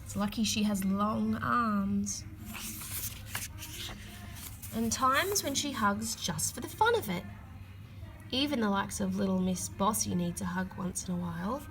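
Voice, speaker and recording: A woman reading a children's picture book aloud, with expressive emphasis and drawn-out key words. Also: mid-range voice, rough recording. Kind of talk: read-aloud storytelling for children.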